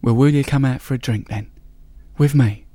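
A storyteller voicing a boastful male master thief who gets squeaky and breathless when worked up. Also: normal speed, warm tone, no echo, lightly acted. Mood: teasing.

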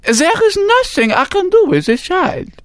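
Storyteller playing a fussy doctor, very high voice. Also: sing-song, a little slow, a bit bright, no room sound, studio quality, exaggerated voice. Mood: grave.

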